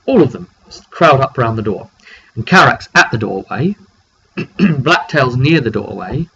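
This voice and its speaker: A man giving an informal, dramatic recap of a tabletop roleplaying session as game master, telling it like an animated story. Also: medium pitch, unhurried, creaky. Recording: dull lo-fi mic with background noise.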